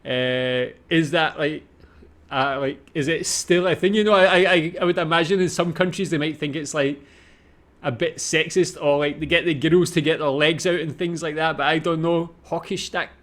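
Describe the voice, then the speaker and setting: A man's voice: a little high, steady tone, normal speed, a bit bright. A man with a Scottish accent giving casual, musing off-the-cuff commentary as host of a reaction video. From Scotland.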